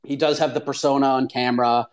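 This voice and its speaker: A man's voice: mid-range voice, flat delivery, fast talker. A man hosting a casual talk show about MMA, rambling with many fillers, restarts and false starts. He has a young child. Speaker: MMA journalist and show host.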